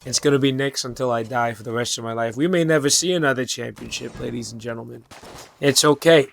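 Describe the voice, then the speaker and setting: Young man, 20s, hoarse, raspy, rambling monologue, lots of fillers, casual swearing, middle pitch. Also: fast. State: hoarse from losing his voice. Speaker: channel host. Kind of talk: late-night personal monologue.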